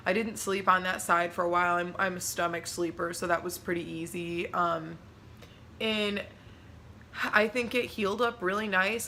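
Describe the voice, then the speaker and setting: A young woman in her twenties chatting casually to camera as a vlogger, rambling with lots of "like" and "um", laughing easily and jumping up in pitch when excited. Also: slight background noise.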